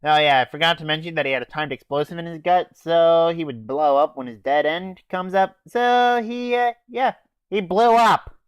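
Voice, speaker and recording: A man's voice: on the high side, normal speed, smooth, dry recording, highs cut off. A teenage boy casually recapping an anime episode in an offhand, hesitant way with fillers and swearing. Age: teens. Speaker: anime reviewer.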